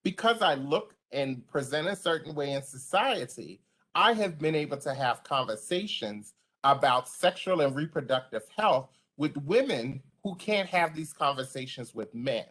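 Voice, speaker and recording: A woman with a deep voice giving an online training to service providers, speaking passionately and conversationally. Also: animated, moderate pace, a bit bright. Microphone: video call.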